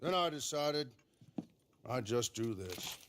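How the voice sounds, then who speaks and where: An actor playing a resolute Old West lawman with an American accent, speaking in a dry, measured, plain way for a game cutscene. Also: medium pitch, animated, heavy background noise. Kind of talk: video game cutscene acting.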